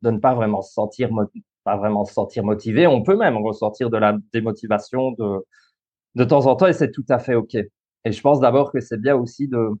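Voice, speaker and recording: Belgian man, thirty, explaining calmly. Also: mid-range voice, a little fast, dull audio. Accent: Belgian French. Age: 30s. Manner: explanatory, many fillers and restarts, easy laughs. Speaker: guest, school coach and social worker. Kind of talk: podcast interview.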